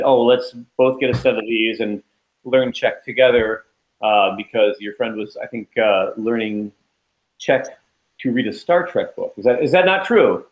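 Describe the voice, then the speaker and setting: Wry mid-pitched man hosting. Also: highs cut off. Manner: jokey, lightly formal, interviewing. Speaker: podcast co-host. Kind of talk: casual chat.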